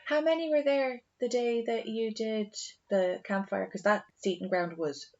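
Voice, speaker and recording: A woman's voice: fairly high pitch, normal speed, darkish tone, dull audio. An Irish woman co-hosting a podcast in casual chat, talking in a chatty, storytelling way and acting out reactions. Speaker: podcast co-host.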